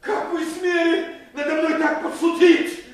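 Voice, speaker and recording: An actor playing a blunt, impatient prince in a fairy-tale audio play, easily flustered. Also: male voice, very high voice, flat delivery, a little slow, roomy sound. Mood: outraged. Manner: flustered, hesitant interjections, swooping when upset.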